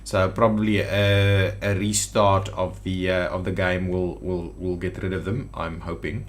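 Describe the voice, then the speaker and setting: A man's voice: low voice, little pitch movement, a little slow. A man giving casual gameplay commentary as a video host, thinking aloud and muttering to himself. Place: indoors at a computer.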